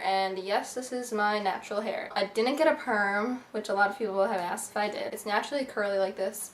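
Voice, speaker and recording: Chatty young woman vlogging in an echoey room. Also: medium pitch. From Egypt, raised in the USA. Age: young adult. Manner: chatty, bubbly, filler-heavy with lots of "like". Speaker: beauty vlogger. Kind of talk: casual facts-about-me vlog. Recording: echoey room, faint hiss.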